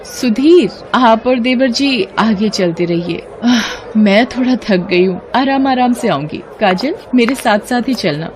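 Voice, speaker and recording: A woman's voice: a little high, lively intonation, measured pace, few pauses. A woman playing a sly, vain daughter-in-law, faint noise. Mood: feigned sweetness. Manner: wheedling, conspiratorial, theatrical. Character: scheming, vain elder daughter-in-law, sly and sweet-talking. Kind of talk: Hindi cartoon family melodrama acting.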